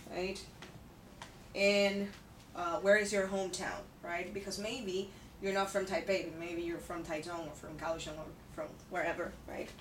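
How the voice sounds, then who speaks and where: A woman's voice: on the low side, little pitch movement, moderate pace. Woman, thirties, English teacher, patient, keeps checking in, distant mic, noisy classroom. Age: 30s. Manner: patient, checks in with "okay?" and "right?". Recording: echoey room, distant mic, background noise.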